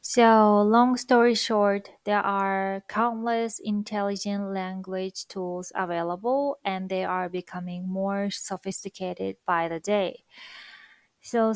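Slow-spoken Japanese-accented woman. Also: medium pitch, dry recording. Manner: slow, careful, thoughtful delivery with soft fillers. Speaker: language-learning vlogger. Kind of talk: reflective monologue to viewers.

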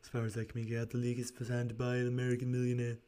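A man's voice: mid-range voice, monotone, very fast, dark tone, clear, resonant tone, close to an everyday voice. A man voicing an eager, persuasive Victorian shop assistant from a storybook, explaining in an emphatic, coaxing way.

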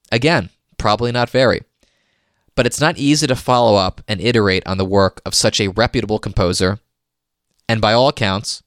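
A man's voice: on the low side, fairly bright tone, dry recording, studio quality. A man hosting a podcast, reading a scripted history segment with casual asides. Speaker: podcast host.

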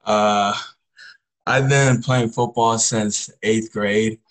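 Low, even-toned teenage guy being interviewed. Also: drawn-out words. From Congo, later the US Pacific Northwest. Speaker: high school football player, podcast guest. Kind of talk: casual interview answers.

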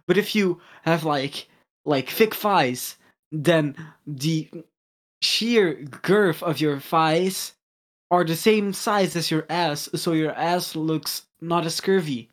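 A teenage boy co-hosting a podcast over a video call, excitable, swearing and restarting his sentences. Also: a little high, slow. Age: teens. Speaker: podcast co-host. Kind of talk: casual chat.

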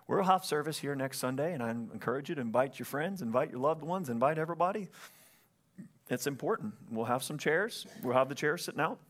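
A man's voice: a little high, expressive, fairly quick. A man pastoring a church, preaching earnestly from the stage, often calling out for an amen and repeating phrases as he goes. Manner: earnest, calls for amens, repeats himself. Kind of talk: sermon. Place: church sanctuary. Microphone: stage microphone.

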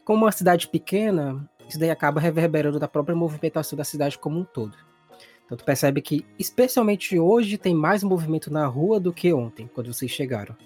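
Male game master, husky, casual improvised scene-setting with music underneath. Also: fairly high pitch, animated, a little fast, a little mellow.

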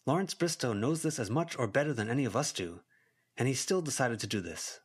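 A man's voice: medium pitch, expressive, brisk, husky. A man voicing a knowledgeable, wary teenage student in an audiobook. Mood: wary, explanatory. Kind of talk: audiobook character dialogue.

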